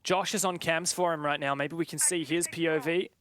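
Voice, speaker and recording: A man's voice: fairly high pitch, fairly even intonation, fairly quick, fairly bright tone, slight background noise. A man giving live esports commentary in a chatty, joking, conversational way. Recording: game gunfire and clicks behind voice. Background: game sound with gunshots.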